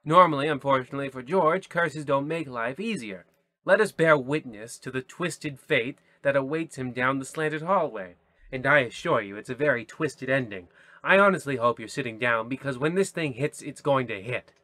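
A man's voice: mid-range voice, moderate pace, bright, forceful tone, no room sound, close to an everyday voice. A man playing a theatrical, rambling, petty host who narrates a mock old-time spooky radio show. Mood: ominous. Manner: theatrical, digressive.

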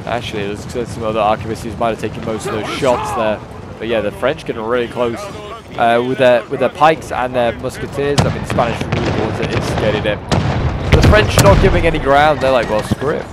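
A man commentating a game battle replay in a casual, rambling way, his voice a bit hoarse from a cold. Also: mid-range voice, a little fast, very noisy. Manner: rambling, with frequent fillers and restarts. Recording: loud game music underneath. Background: loud game battle music and gunfire.

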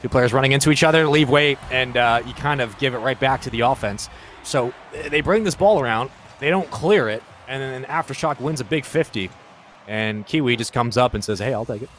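A man giving casual color commentary on a high school esports match. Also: brisk, fairly bright tone, slight background noise. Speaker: esports co-caster.

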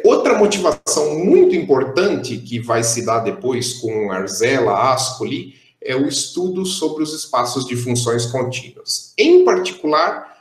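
A young man gives a math lecture over a video call. He explains steadily and fills his pauses with "é". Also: medium pitch, reverberant, poor audio. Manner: explanatory, steady, with frequent "é" fillers. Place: indoor room, on a video call.

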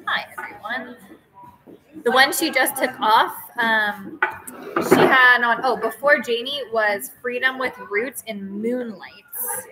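A woman in her thirties hosting a casual livestream from a wig shop, chatting warmly and reading viewer comments aloud. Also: fairly high pitch, slow pace, noisy background. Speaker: livestream host. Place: busy wig shop studio.

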